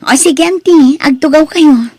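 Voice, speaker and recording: Woman playing gentle young Ilocano niece, mellow voice. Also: high voice, a little slow. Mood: welcoming. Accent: Ilocano Filipino. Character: gracious, forgiving young niece, a new engineering graduate. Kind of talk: Ilocano audio drama acting.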